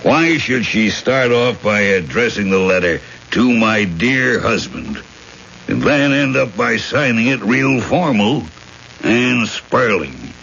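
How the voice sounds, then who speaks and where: An actor playing a dogged, sardonic police detective, questioning pointedly in a radio drama. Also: male voice, mid-range voice, expressive, slow pace, creaky, fairly bright tone, full character voice. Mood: pressing, accusing. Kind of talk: 1970s radio drama acting. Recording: muffled, hissy old radio broadcast.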